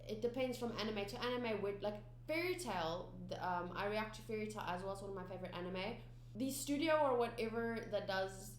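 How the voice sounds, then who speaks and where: A young South African woman whose first language is Afrikaans, chatting casually to her viewers in a rambling way with many fillers and restarts. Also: mid-range voice. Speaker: anime reaction channel host. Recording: echoey room with faint background noise.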